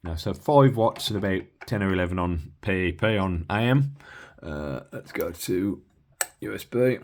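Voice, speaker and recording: A man talks through technical equipment like a hobbyist at the bench. His delivery is casual and unscripted. He explains in a matter-of-fact but halting way, feeling out his wording as he goes. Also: deep voice, animated, a little hiss or hum. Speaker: hobbyist demonstrating a used CB radio.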